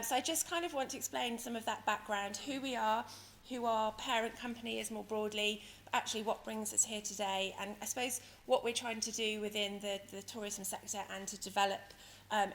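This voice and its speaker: A woman with a croaky, hoarse voice giving a conference presentation as a company director, explaining things in a hesitant, wordy way. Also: medium pitch, a bit bright. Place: conference venue in London, speaking to an audience. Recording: noisy conference hall ambience.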